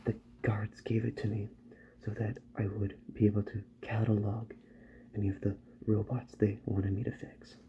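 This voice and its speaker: A man doing a soft-spoken ASMR roleplay as a calm, polite doctor who repairs robots, murmuring gently and often dropping into a whisper. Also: lowish voice, muffled recording, a little hiss or hum. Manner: gentle, slow, murmured reassurance to the listener.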